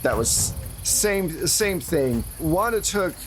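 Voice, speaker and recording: A man's voice: fairly high pitch, expressive, slow pace, a little hiss or hum. A man narrating a tabletop horror roleplaying game as game master, in a casual, conversational way.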